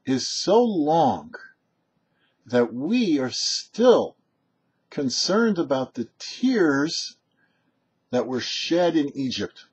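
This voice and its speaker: A man who is a rabbi reads a prepared religious lesson in a measured, explanatory way with emphatic pauses. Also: a little high, big pitch swings, darkish tone, husky. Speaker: rabbi hosting a weekly Torah teaching show. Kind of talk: prepared religious teaching, read aloud.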